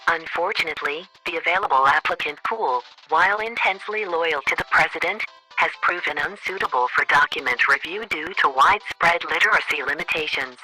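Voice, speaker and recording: A man playing an officious government chief of staff, reading formal, deadpan orders as a voicemail in a satirical radio comedy. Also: on the high side, fairly quick, faint music behind, poor audio.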